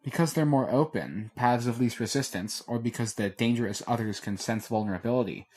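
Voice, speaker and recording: A guarded, weary young man, read by a man in an audiobook, laying out dangers in a slow, measured way. Patient but worn down, he talks like someone carrying too much. Plain everyday voice. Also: medium pitch, darkish tone, close to an everyday voice. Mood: earnest.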